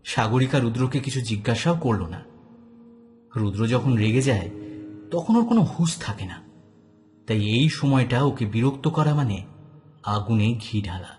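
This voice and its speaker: Guy narrating a detective story, dramatic, lots of pitch movement, soft music behind. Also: expressive, measured pace, long pauses.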